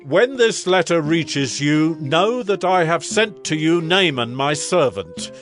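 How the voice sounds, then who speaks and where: A man's voice: a little high, big pitch swings, slow, a bit bright, soft background music, exaggerated voice. An actor playing an authoritative king in a dramatized audio Bible, formal and regal. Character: authoritative king of Syria, adult male.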